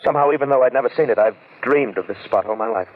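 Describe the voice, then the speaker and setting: A man's voice: mid-range voice, racing, phone-quality sound, natural voice. Man playing brooding, secretive recluse, vintage radio sound. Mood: obsessed, fervent. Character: wealthy, obsessed, secretive recluse, brooding and touchy. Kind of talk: 1940s-50s radio drama acting. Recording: band-limited old-time radio recording.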